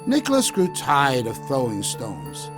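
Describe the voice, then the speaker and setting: A man narrating a scripted children's story in an expressive, deliberate way with clear phrasing. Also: medium pitch. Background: background music.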